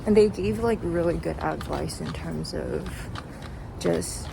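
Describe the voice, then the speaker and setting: A woman's voice: on the low side, slow pace, gravelly. A young woman talking casually and reflectively in a rambling vlog, recorded outdoors while walking. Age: young adult. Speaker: vlogger. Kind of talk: casual chatty vlog monologue. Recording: noisy outdoor street with traffic.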